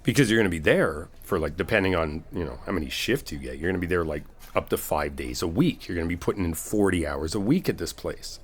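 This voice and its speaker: A man's voice: low-pitched, expressive, fast talker, hardly any pauses, breathy edge. A man playing a supportive, laid-back best friend in a casual comfort roleplay, talking in a reassuring, rambling, informal way. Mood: encouraging, reassuring. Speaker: ASMR roleplay performer.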